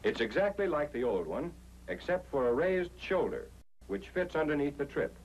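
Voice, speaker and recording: A man's voice: fairly high pitch, big pitch swings, normal speed, dull audio, slight background noise. An American man reading a scripted 1940s instructional film narration in an emphatic, explanatory way, on an old film soundtrack.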